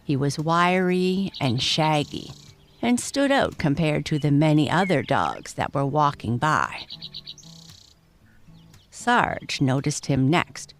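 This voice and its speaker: A woman's voice: sits low, very animated, takes it slowly, soft background music. A woman reading a scripted bedtime story for children as its narrator.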